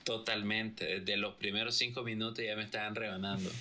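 A man's voice: fairly low pitch, flat delivery, a little fast. A thirty-year-old man, a music producer chatting casually as an interview guest, often breaking into laughter. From Costa Rica and Nicaragua. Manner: laughs often while recounting.

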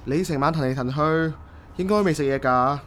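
A man acting a kind, calm stranger in a radio drama, gentle and reassuring, with a Hong Kong Cantonese accent. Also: fairly high pitch, moderate pace, slight background noise, lightly acted. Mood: concerned, comforting. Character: kind, calm, reassuring male bus acquaintance.

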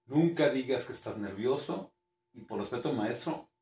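Hombre mexicano bromista, sala con eco. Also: male voice, mid-range voice, lively intonation, moderate pace, mellow, roomy sound. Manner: joking, nervous, laughs often. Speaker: host. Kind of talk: casual interview chat.

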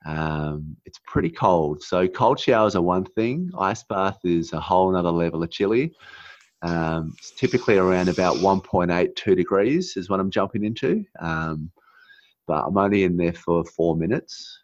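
A man raised in Australia after a New Zealand childhood, speaking as an interview guest in an earnest, halting way with many fillers. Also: sits low, expressive, no room sound, dull audio. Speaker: guest, naturopath. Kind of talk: casual interview chat.

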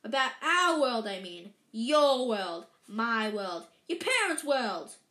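A woman voicing a huge, gruff male giant in a storybook, booming and growling with rustic, emphatic speech and a hot temper. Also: big pitch swings, a little slow. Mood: exasperated. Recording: echoey, roomy sound.

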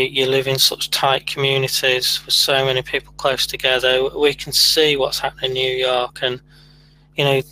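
A man hosts a casual podcast and talks in a measured, earnest way, often pausing on fillers. His voice is bright and forward, with very little rise and fall. A faint hum sits under the recording. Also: mid-range voice, rough recording. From United Kingdom. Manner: measured and earnest, steady delivery with many fillers. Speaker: podcast host. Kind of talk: casual chat.

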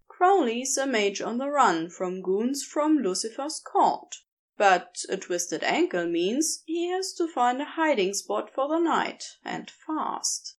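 A woman reading a fan fiction story aloud as a podfic, in an expressive, deliberate narration. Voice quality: lively, expressive reading with wide pitch swings. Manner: slow, deliberate storytelling pace. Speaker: podfic reader.

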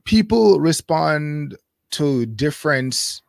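A man in his thirties, a guest in a casual interview, speaking thoughtfully with many pauses and fillers. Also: on the high side, expressive, vocal fry, no echo, studio quality.

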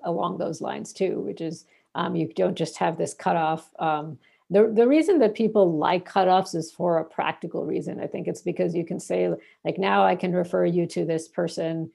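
A woman's voice: on the low side, creaky, mellow, breathy edge. A young woman from Bangladesh, a researcher, answering interview questions in a rambling way full of fillers and restarts.

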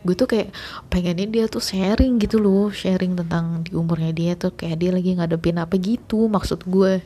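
A woman's voice: fairly low pitch, fairly even intonation, normal speed, mellow, slightly rough. A woman, telling a story in a rambling, chatty way full of fillers. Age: late 20s. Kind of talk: casual storytelling monologue.

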